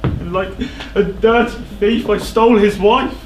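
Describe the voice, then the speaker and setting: Guilt-racked king for stage tragedy, male actor: high voice, halting, overwrought delivery, faint noise behind. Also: fairly even intonation. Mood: anguished confession. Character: anguished king, haunted by guilt and dread. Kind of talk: classical tragedy stage acting. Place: indoor theatre stage. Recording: echoey theatre stage, clear background noise.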